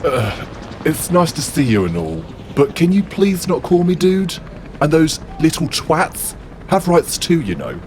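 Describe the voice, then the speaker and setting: A man's voice: a little high, measured pace. A man voicing a self-righteous demigod warlord who lectures earnestly and indignantly. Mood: preachy. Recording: steady background noise.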